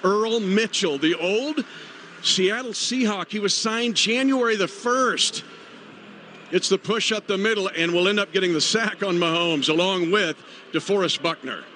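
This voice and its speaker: A high-voiced American man works as a radio play-by-play announcer for football. His phrasing is clipped and urgent, delivered over clear stadium noise on a live broadcast. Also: unhurried, pushed and bright.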